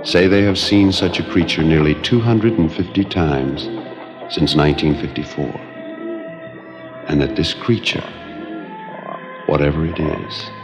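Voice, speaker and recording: A grave documentary narrator with an extremely low voice. He speaks slowly and solemnly, ominous as a monster-film trailer, in long reverb over prominent music.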